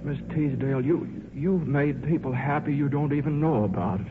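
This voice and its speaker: An actor playing a shy, earnest scientist in a 1950s radio drama, speaking in a halting, stammering, bumbling way. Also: male voice, on the high side, lightly acted. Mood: heartfelt. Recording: muffled, noisy 1950s radio recording.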